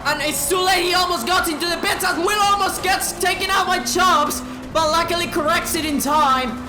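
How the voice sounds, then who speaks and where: Woman, high voice, sim race commentator, sore strained throat, swears, shouts, echoey room, music behind. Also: normal speed. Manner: swears a lot, shouts at big moments, drops to a low tone to save her voice. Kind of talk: excited sim racing commentary. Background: background music.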